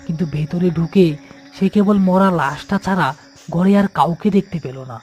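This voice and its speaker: A man's voice: high voice, moderate pace, a little mellow. A man narrating a scripted horror cartoon as a storyteller.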